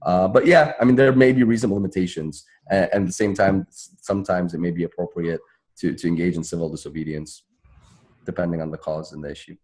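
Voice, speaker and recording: A man's voice: sits low, steady tone, fast talker, darkish tone. A man, a lawyer on a panel, explaining legal points in a conversational way. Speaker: panelist, civil rights lawyer.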